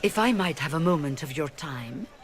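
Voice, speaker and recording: An actress playing a poised, mature mage leader in a game cutscene, speaking in a calm, measured, diplomatic way. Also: female voice, low-pitched. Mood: calm, persuasive.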